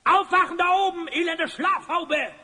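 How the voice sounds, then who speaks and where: An actor playing a tyrannical, gloating evil sorcerer in an audio drama, pompous and commanding. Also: male voice, extremely high pitch, normal speed, bright, forceful tone, heavily acted. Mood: exasperated. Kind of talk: German audio drama acting. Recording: long cavernous reverb.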